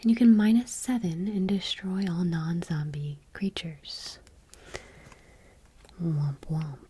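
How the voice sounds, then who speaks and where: A woman softly reading aloud for ASMR in a breathy, whispery voice with a hushed, gentle delivery. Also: fairly low pitch, fairly even intonation, slow, slight background noise. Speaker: ASMR video host.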